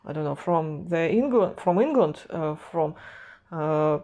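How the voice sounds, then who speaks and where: A young woman with a Russian accent chatting to camera from a room at home, in a slightly low voice. She talks hesitantly, full of fillers, restarts and self-mocking laughs, picked up on a lapel mic. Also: animated, measured pace, mellow. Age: young adult. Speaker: artist and animation vlogger. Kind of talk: casual talk to camera. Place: home room with a gaming chair, indoors.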